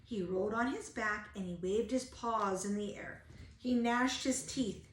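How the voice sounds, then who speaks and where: A woman's voice: medium pitch, measured pace, a little hiss or hum. A woman reading a children's storybook aloud like a teacher, expressively stressing key words. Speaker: teacher reading a picture book. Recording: roomy, echoing home-style recording.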